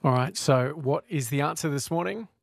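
Upbeat radio host, fairly high voice. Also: male voice, normal speed, gravelly, music in the background. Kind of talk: breakfast radio hosting.

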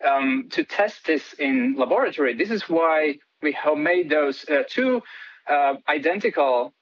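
A man's voice: fairly high pitch, animated, measured pace, highs cut off. A man from Latvia, a PhD student guest on a radio interview, talking hesitantly with many fillers and restarts.

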